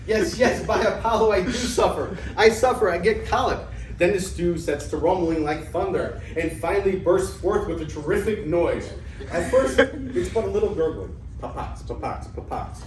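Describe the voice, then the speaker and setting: A man's voice: high-pitched, moderate pace, natural voice. A man playing an earthy, bumbling, debt-ridden would-be student in a theatrical reading of an ancient Greek comedy, with exclamatory delivery. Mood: comic, excited. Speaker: amateur actor in a staged play reading. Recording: echoey room, distant mic, background noise.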